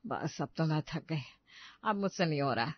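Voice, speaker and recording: A woman's voice: sits low, expressive, normal speed, dry recording, highs cut off. A woman voicing a boastful, scolding middle-aged mother-in-law in a cartoon moral story. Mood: grumbling. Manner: scolding, complaining.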